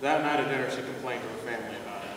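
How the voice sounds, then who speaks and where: Man as fast-talking brash boy, echoey hall. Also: mid-range voice, lightly acted. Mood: narrating. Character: brash, bullying troublemaker boy and skit narrator. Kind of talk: readers theater stage acting. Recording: noisy echoey hall, far from mic.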